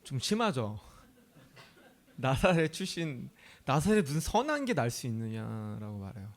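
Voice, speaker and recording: A man's voice: medium pitch, sing-song, moderate pace. A male pastor from Chungcheong, South Korea, preaching a sermon in a conversational way. He often asks the audience questions and laughs easily.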